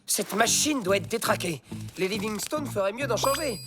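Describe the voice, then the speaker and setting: A performer voicing an excitable, bossy male cartoon animal in a French dub, dramatic and quick to swing from confidence to panic. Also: mid-range voice, noisy background, close to an everyday voice. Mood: confident.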